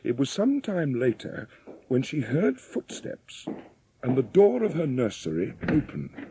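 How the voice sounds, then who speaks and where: A man's voice: mid-range voice, sing-song, unhurried, background noise. A man narrating a dramatised audiobook story in a scripted storytelling style. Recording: music behind the narration.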